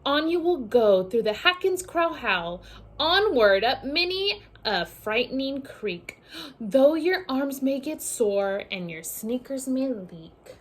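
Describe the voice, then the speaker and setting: A woman teacher doing an expressive children's read-aloud, speaking directly to her young listeners in an animated way. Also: sits high, slow, a little hiss or hum. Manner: animated, addresses children directly with questions and asides. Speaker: teacher reading a story aloud to young pupils.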